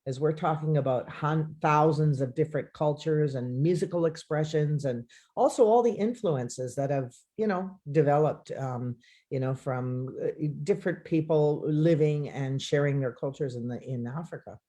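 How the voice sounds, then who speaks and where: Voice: medium pitch, moderate pace, warm tone. A co-host in a casual live-streamed chat who explains things with enthusiasm and laughs easily.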